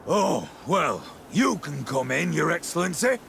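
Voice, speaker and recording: A man acting an officious, suspicious camp gate guard with a gravelly voice in a video game. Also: on the high side, very animated, a little slow, fairly bright tone, a little hiss or hum, heavily acted. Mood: grudging, deferential. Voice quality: gravelly, creaky.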